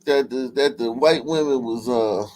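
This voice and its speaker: A man's voice: mid-range voice, measured pace, a little mellow, rough recording. A man hosting a livestream in casual, profane chat, often repeating and restarting his phrases. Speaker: livestream host. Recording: clear background noise.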